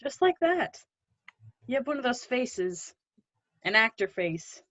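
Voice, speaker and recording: A woman reading the part of an older, guarded but protective woman in a play, conversational and gently teasing. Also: fairly high pitch, big pitch swings, slow, no room sound, muffled recording, exaggerated voice. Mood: probing, dry. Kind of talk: play readthrough acting.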